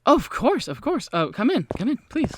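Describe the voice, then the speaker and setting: Hospitable, stammering nurse woman for a tabletop game, male player, lifted pitch, slightly noisy mic. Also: huge pitch swings, a little fast, exaggerated voice. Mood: relieved, polite. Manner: hesitant, stammering, courteous. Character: polite, hospitable female private nurse.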